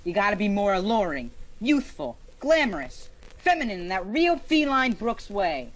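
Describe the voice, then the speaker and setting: A woman playing an excitable, gawky teenage schoolboy with gushing delivery in old-time radio comedy style. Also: mid-range voice, moderate pace, natural voice, poor audio. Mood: dreamy, earnest. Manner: gushing, slangy.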